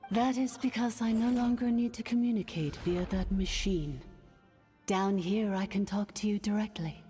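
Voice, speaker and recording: A woman voicing an ancient, authoritative female AI in a game, speaking in a measured, formal manner. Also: mid-range voice, warm tone, natural voice. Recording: long hall reverb, game music behind.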